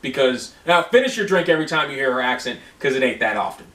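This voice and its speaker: A man reviewing a movie in casual, profane chat, excitable and quick to restart his sentences. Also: mid-range voice. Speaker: co-host of movie review video. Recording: echoey room, far from the mic.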